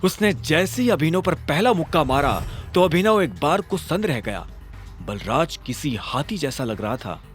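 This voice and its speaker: A male narrator tells a dramatic scripted story in a fairly high voice. His delivery swings widely in pitch, and music plays behind him. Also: sing-song, normal speed.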